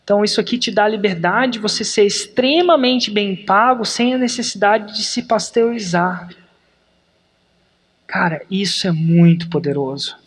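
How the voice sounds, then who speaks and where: Emphatic Brazilian man, host. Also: high voice. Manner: energetic, emphatic, slows down to stress points. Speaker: podcast host. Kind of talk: animated business coaching talk.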